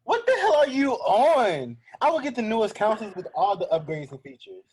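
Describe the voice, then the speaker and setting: Young actor as cocky competitive gamer, higher-pitched, husky, very lively delivery, student stage play. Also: male voice, fairly quick, exaggerated voice, rough recording. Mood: cocky, excited. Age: young adult. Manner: blunt, cocky. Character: Danny, brash, blunt young competitive gamer. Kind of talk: student one-act play acting.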